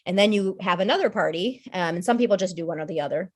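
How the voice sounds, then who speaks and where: A woman with a slightly low voice speaks as a podcast guest in a loose, conversational way. She is in her thirties and thinks aloud, circling back with fillers, restarts and small asides. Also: fast talker. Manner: thoughtful, rambling, many fillers and restarts. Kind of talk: casual conversational discussion.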